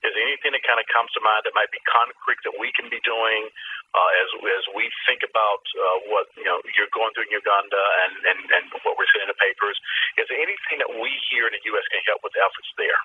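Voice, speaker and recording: An American man with a fairly low voice poses interview questions in an informal, tentative way, feeling his way through the wording. He is heard over a thin telephone connection with slight noise on the line. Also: fairly even intonation, quick pace. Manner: hesitant, tentative phrasing. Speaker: conference call host asking questions. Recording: tinny, noisy phone conference line.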